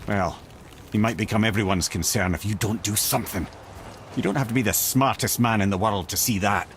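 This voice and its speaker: An actor with a Scottish accent playing a witty old talking head, a wry, plain-spoken and chatty advisor in a video game. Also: male voice, fairly low pitch, brisk, a bit bright, slight background noise, close to an everyday voice. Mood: wry, concerned.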